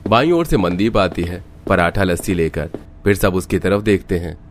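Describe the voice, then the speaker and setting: Low-voiced man narrating a story. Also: normal speed. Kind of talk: scripted storytelling narration for cartoon.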